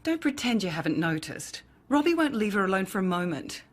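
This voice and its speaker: A woman's voice: medium pitch, big pitch swings, brisk. A woman playing a jealous, anxious mother in a radio drama, insistent and scolding in manner. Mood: agitated.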